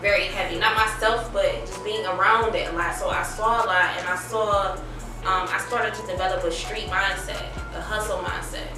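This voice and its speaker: A woman from Ohio, a rapper and radio personality with a high, girlish baby voice, chatting casually in streetwise slang with lots of fillers. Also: fairly even intonation, normal speed, heavy reverb, recorded from a distance, over music. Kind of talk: casual interview chat.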